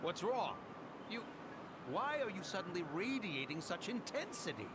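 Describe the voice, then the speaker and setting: A man's voice: sits high, sing-song, normal speed, very noisy. A man playing a proud, grumpy old tanuki spirit who guards a forest, pompous and theatrical, in an anime video game. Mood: alarmed. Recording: faint music behind the voice.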